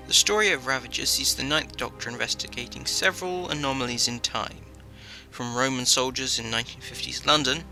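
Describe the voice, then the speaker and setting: A man's voice: mid-range voice, bright, forceful tone. A man giving a scripted review as voice-over narration. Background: faint music.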